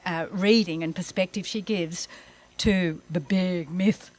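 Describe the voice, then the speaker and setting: A woman's voice: lowish voice, slow. A woman asking an informal question of a literary panel as an audience member.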